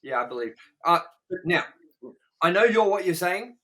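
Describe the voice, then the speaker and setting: A man with a bright, fairly high voice talks through a casual movie review. He is emphatic and opinionated, swearing freely as he makes his case as co-host. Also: lively intonation, moderate pace.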